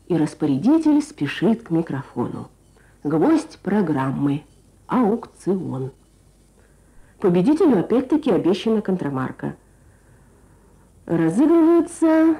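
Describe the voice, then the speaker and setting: Female Soviet-era radio reporter, mellow timbre, lively melodic scripted delivery. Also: medium pitch. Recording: archive radio broadcast with music.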